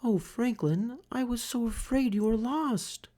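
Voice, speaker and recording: Man voicing a high, gentle mother turtle. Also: unhurried. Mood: tender, relieved. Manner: soothing, tender. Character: gentle, caring mother turtle, adult female.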